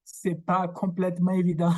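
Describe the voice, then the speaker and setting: A man from Brazil with a Québécois French accent and Portuguese as his first language, giving a workshop lecture over a video call, halting and searching for words. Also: high voice, monotone. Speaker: guest lecturer, researcher. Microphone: video call microphone.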